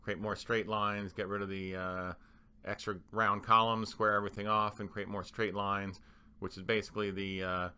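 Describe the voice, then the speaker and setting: Guy doing a slideshow voiceover, lowish bright clear voice, kinda halting as he explains stuff. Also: little pitch movement. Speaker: club manager presenting renovation plans. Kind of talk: slide presentation voice-over.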